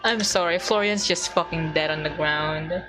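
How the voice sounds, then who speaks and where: A woman's voice: sits low, normal speed, rough recording. A woman streaming a game, giving casual, amused and informal reactions to the story. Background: faint game music.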